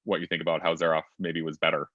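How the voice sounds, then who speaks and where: Deep-voiced male moderator, dull dry audio. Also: little pitch movement, fast, bright, forceful tone, dry recording. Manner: filler-heavy, frequent brief agreement. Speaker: panel moderator. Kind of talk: casual panel discussion.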